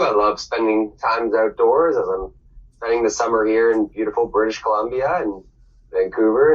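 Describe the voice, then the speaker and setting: A young Canadian man, a teacher candidate, introducing himself in an easygoing, rambling way over an online call. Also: fairly low pitch. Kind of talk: casual self-introduction. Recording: husky, dull, distant online call.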